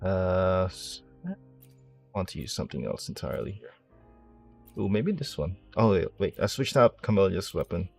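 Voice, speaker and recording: A man's voice: low-pitched, lively intonation, normal speed, gravelly, darkish tone, faint music behind. A man playing a video game and commentating casually, rambling and thinking aloud in a self-deprecating way. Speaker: streamer, game player.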